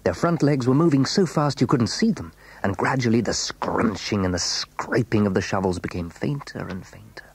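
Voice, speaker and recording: A man narrating a children's audio story in an expressive, suspenseful way. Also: fairly low pitch, normal speed, vocal fry, few pauses, breathy edge, no echo, heavily acted.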